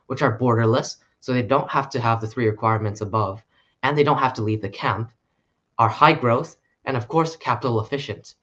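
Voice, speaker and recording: A man presenting a short conference talk in an earnest, steady way. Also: medium pitch, dull audio. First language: English.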